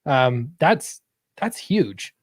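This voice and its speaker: A man's voice: medium pitch, sing-song, very slow, no echo, studio quality. A man in a casual panel discussion, earnest and agreeable, feeling his way through points with small self-corrections.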